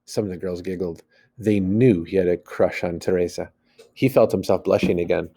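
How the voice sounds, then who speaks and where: A man's voice: sits low, moderate pace, gravelly, breathy edge. A man reading a story aloud like a teacher, expressively, acting out the dialogue and adding plain asides. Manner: animated, acts out the dialogue.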